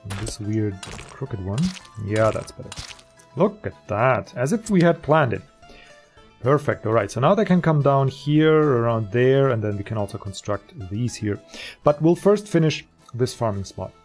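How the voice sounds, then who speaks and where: A man who is not a native English speaker, casually commenting on a city-building game as he plays, easygoing and jokey, thinking out loud. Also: mid-range voice, expressive, moderate pace, soft background music. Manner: easygoing, jokey, thinks aloud while playing. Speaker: let's play host.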